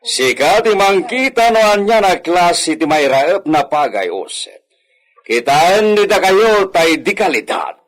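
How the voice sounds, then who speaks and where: An actor playing a scheming, treasure-hunting hacienda master in a radio drama, giving orders in a commanding, conspiratorial way. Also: male voice, high-pitched, big pitch swings, a little slow, fairly bright tone, full character voice. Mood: commanding, pleased.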